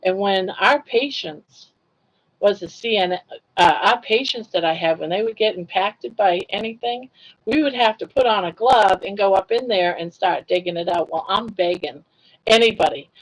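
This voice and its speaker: A woman's voice: medium pitch, moderate pace, poor audio. Chatty older woman laughing through stories. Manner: chatty, laughs often, reads chat comments aloud. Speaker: livestream host. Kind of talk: casual livestream storytelling.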